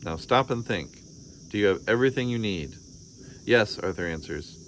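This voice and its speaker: Low-voiced man reading a children's story. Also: lively intonation, moderate pace, a little hiss or hum. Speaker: storybook reader. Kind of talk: expressive children's story read-aloud.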